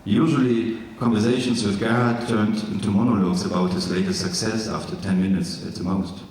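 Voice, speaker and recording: A man from East Germany with a German accent, reading from his memoir in careful, slightly halting English with jokey asides. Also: on the low side, fairly even intonation, darkish tone, slight background noise. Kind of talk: live literary reading. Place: large event hall. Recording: echoey hall from far off.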